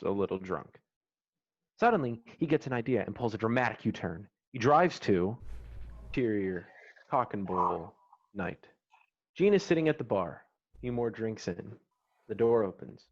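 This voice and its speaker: A man reads screenplay stage directions as a scripted narrator. His voice sits fairly low, and the recording is a little dull and lo-fi, like an online call. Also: darkish tone.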